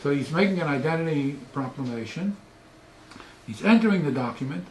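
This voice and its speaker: An older man, a professor, gives an animated, lecture-style philosophical talk into a headset mic in a small study, often trailing off into quieter asides. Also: fairly high pitch, a little fast, echoey room, a little hiss or hum, poor audio. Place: small study with bookshelves, indoors.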